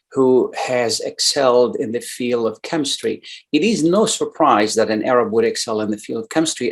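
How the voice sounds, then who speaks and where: A male radio host with a mid-range voice who talks in long, rambling runs. He fills pauses with "uh" and repeats his words as he goes. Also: mid-range voice. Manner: wordy, repeats phrases, many fillers. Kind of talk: radio interview.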